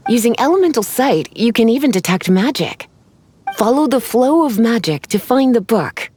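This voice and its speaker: A woman's voice: on the high side, very animated, normal speed, mellow, a little hiss or hum. A woman voicing a teasing, self-assured librarian in a video game, coy and theatrical with a sweetly menacing edge. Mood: teasing, instructive. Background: faint music.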